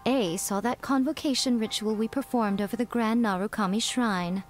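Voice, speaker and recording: A woman's voice: fairly high pitch, moderate pace, soft background music, natural voice. A woman voicing a sly, teasing, self-important fox shrine maiden in a video game, with a leisurely haughty manner. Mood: airy, dismissive. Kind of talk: video game character dialogue.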